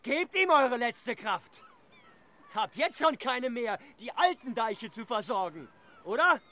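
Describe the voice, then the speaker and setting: High, sharp-voiced scornful male rebel. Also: sing-song, full character voice. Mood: bitter. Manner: scornful, goading, emphatic pauses. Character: bitter, scornful village rebel challenging the dike master. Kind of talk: German radio play acting.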